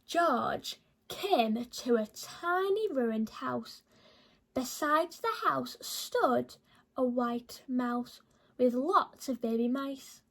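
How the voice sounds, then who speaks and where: A woman reading a children's storybook aloud in an expressive narrating style. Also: high voice, very slow.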